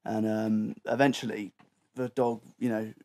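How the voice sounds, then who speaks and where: A man's voice: on the low side, level tone, measured pace, mellow. A young adult British man telling an anecdote in a casual, slangy way, quoting his own thoughts as he goes.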